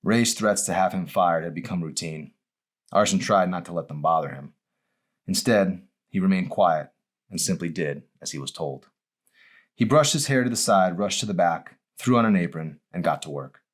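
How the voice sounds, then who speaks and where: A man reading his own novel aloud as expressive audiobook narration. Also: low voice, moderate pace.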